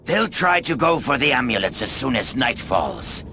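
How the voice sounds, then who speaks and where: An actor playing a hardened, world-weary male warrior in a game cutscene, gravelly, cynical and brooding, often close to a whisper. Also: mid-range voice, very animated, sharp tone, a little hiss or hum, exaggerated voice. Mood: commanding. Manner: slow, brooding delivery, often dropping near a whisper. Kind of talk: dramatic video game cutscene acting and narration.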